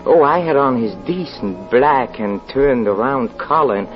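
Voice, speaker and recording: An actor playing an escaped convict posing as a minister, sly and unhinged, with halting, nervy speech in 1940s radio thriller style. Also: male voice, medium pitch, animated, natural voice. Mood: feverish confession. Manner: halting, nervy, with repeated words. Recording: muffled old radio recording with music.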